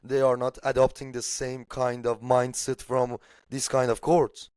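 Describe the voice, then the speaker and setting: A man's voice: medium pitch, flat delivery, a little slow, a little mellow, clear, resonant tone, no room sound. A man from Iran with an Iranian accent and Persian as his first language, talking casually about politics in a blunt, emphatic way with casual swearing. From Shiraz, Iran. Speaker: political podcast speaker.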